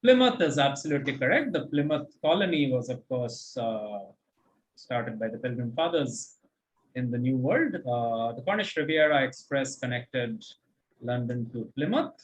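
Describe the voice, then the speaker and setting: A man's voice: medium pitch, animated, moderate pace, poor audio. A man hosting a quiz, reading out questions and commenting on answers in an articulate, encouraging, explanatory way. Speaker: quizmaster and host.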